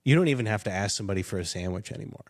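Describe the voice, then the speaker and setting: A young man chatting loosely on a podcast, his fairly low voice wandering through fillers and half-finished thoughts. He talks himself down now and then, easy and unhurried. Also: expressive. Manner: rambling, filler-heavy, self-deprecating. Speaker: podcast guest. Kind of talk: casual chat.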